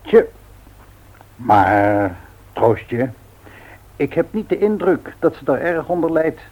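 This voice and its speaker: A man's voice: a little high, very animated, takes it slowly, a little mellow, exaggerated voice. An actor playing a grumbling, teasing old husband and grandfather in a radio play, muttering and repeating himself. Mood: calm, reassuring. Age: older. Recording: dull old radio recording.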